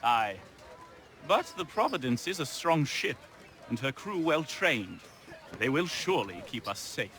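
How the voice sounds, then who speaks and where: A man playing a steady, respectful sailor of the age of sail in a video game, matter-of-fact and reassuring. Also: medium pitch, unhurried. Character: steady, reassuring old-time sailor, deferential to passengers. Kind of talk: video game cutscene acting.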